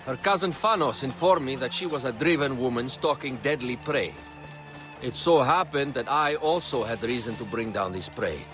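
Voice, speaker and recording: A man's voice: medium pitch, moderate pace, over music, natural voice. An actor playing a refined, articulate advisor to an ancient Egyptian queen in a video game, speaking formally and instructively. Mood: grave, informative. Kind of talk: video game cutscene acting.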